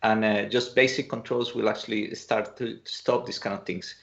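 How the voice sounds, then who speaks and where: Man from Colombia, hesitant presenter, gravelly voice, muffled video-call audio. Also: fairly low pitch, fairly even intonation, normal speed, fairly bright tone. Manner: hesitant, many fillers and restarts. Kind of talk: online conference talk.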